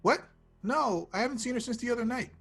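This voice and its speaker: A woman playing an earnest, easily flustered young male rock bassist in a table read. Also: fast talker, a bit bright, breathy edge, faint music behind. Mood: sheepish. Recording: noisy home mic with clicks.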